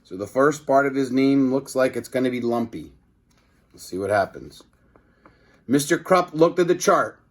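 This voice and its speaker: A man's voice: medium pitch, lively intonation, moderate pace. A man reading a children's story aloud as narrator, with brief asides to the listeners. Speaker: storybook reader.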